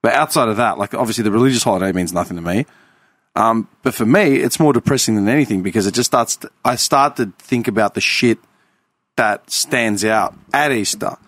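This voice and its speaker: A man's voice: fairly low pitch, fairly quick, a bit bright, no echo. A man in his thirties with an Australian accent, talking bluntly and reflectively in casual chat, swearing freely. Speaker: podcast co-host.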